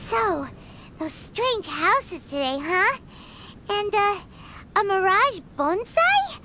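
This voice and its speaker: A woman voicing a tiny, excitable, childlike fairy companion girl in an anime game, squeaky and bubbly. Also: slow pace, slight background noise, heavily acted. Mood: puzzled. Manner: bubbly, speaks of herself in third person.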